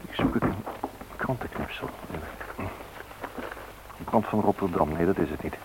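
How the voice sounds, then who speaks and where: A man's voice: low-pitched, moderate pace, natural voice. A man acting as a reflective, brooding narrator in a scripted radio drama, on a hissy old recording. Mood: searching, grave. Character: brooding, reflective male narrator reciting history and myth.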